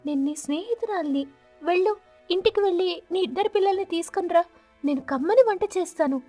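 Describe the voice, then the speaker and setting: Lady doing nice parrot neighbour lady in a cartoon, really high chatty kind voice. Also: fairly quick, warm tone, faint music behind. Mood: sympathetic. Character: friendly female parrot neighbour.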